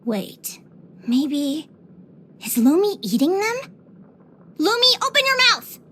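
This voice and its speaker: A young female adventurer from a fantasy video game. Her voice sits very high and girlish. She speaks with earnest, expressive wonder, like a cheerful naturalist who is curious about the whole world. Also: takes it slowly, a little hiss or hum, full character voice. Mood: eager, animated. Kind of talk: video game character voice lines.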